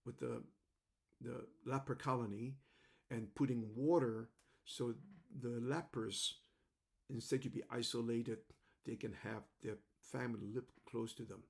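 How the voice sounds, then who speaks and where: Gravelly older man, rambling and reflective. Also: mid-range voice, fairly even intonation, a little slow. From China (Shanghai and Macau). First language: Cantonese. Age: 70s. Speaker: storyteller addressing his online audience. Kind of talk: personal video monologue.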